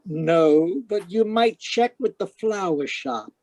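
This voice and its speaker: Woman playing a slow, hesitant witness. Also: fairly low pitch, animated, darkish tone, no room sound, lo-fi sound. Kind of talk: old-time radio detective acting.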